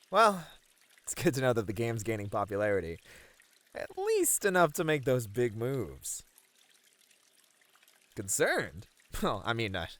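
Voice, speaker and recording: A man's voice: mid-range voice, a bit bright. A man playing a friendly, easily flustered bunny man in an ASMR roleplay, earnest and a little hesitant. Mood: modest, hopeful. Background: pouring, trickling water.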